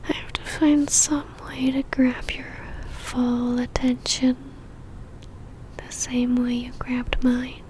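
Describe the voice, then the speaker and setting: A woman playing an obsessive, seductive female vampire in a whispered ASMR roleplay, speaking intimately with a teasing, soft menace. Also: on the high side, flat delivery, takes it slowly, a little hiss or hum. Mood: seductive, possessive.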